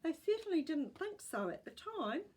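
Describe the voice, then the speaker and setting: A woman with a New Zealand accent voicing an elderly great-grandmother in a storybook, wry and gently firm. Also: extremely high pitch, very animated, moderate pace, pitched above the performer's own voice. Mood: wry, earnest.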